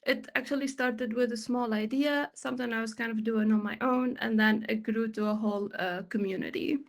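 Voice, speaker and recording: A woman's voice: fairly high pitch, steady tone, a little fast, vocal fry. A young woman in her twenties, from Tunisia, presenting her work on an online panel in an upbeat, chatty way with many fillers. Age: 20s. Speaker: panel guest, XR developer.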